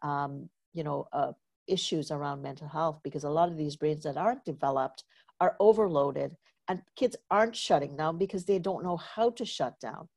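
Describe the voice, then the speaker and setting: A woman's voice: deep voice, moderate pace, darkish tone. A woman from Trinidad and Tobago hosting an interview podcast, talking in a chatty way with lots of "you know" fillers and storytelling asides. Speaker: podcast host. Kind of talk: casual conversational interview.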